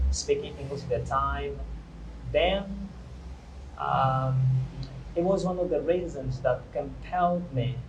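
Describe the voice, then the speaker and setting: A young man in his twenties, a native Portuguese speaker, answering interview questions in an earnest, hesitant way with frequent restarts. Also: medium pitch. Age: 20s. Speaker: guest. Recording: echoey room, faint noise.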